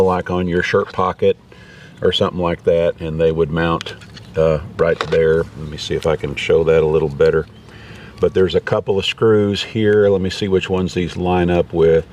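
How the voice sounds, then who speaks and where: A man's voice: sits low, darkish tone. A man hosting a casual, unscripted gear review in a folksy, rambling way. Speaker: video host reviewing gear. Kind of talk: casual unscripted product demonstration. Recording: distant mic, background noise.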